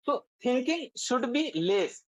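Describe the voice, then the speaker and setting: A man from India who speaks as his language, practicing English with a tutor in earnest, halting sentences. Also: extremely high pitch, sing-song, dry recording. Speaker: English learner.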